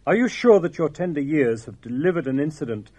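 A man's voice: fairly high pitch, lively intonation, brisk, dark tone. An actor playing a pompous Victorian doctor who narrates his own curious tale in a comedy radio play. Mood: storytelling. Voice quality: pompous, plummy.

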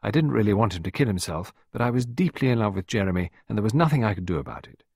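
A man's voice: lowish voice, very animated, fast, darkish tone, slightly rough, no room sound, clean studio sound, exaggerated voice. A man voicing a playful, composed married woman in an audiobook, airy and mock-dramatic. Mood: complacent, mock-dramatic. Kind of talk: audiobook character voice.

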